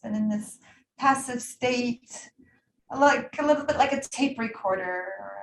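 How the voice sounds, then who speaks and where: A woman teaching a guided yoga nidra meditation in a soothing, unhurried way, leaving pauses between trailing phrases. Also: a little high, echoey room, slight background noise, low-quality recording.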